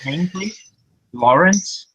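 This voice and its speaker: A man's voice: high-pitched, animated, slow, fairly bright tone, breathy edge, rough recording. An Australian man with an Australian accent, chatting casually as a panelist on an online group call. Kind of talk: casual chat.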